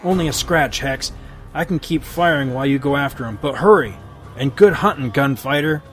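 A man's voice: mid-range voice, moderate pace, fairly bright tone, soft background music, close to an everyday voice. A man voicing a sly, crooked small-town sheriff of the old West who talks in a folksy drawl. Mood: urgent. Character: sly middle-aged crooked frontier sheriff.

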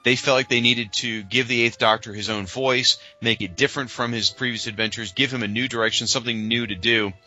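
A man's voice: mid-range voice, fairly even intonation, a little fast, few pauses, bright, forceful tone, faint music behind, rough recording. A man co-hosting a podcast, talking casually and emphatically with a fan's strong opinions. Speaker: podcast co-host. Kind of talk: casual fan discussion.

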